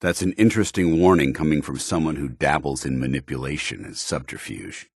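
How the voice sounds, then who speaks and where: An actor playing a stern, duty-bound military commander in a video game, speaking formally with clipped authority. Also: male voice, extremely low pitch, a little fast, creaky, breathy edge, no echo, studio quality, heavily acted. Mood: commanding, businesslike. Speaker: commanding officer. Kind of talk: scripted video game dialogue.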